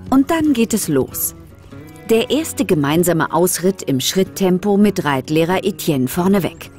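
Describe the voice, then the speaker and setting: A woman narrates a children's audio story. Her voice sits a little low and moves with very lively intonation. Music plays clearly behind her. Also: unhurried. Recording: music under the voice.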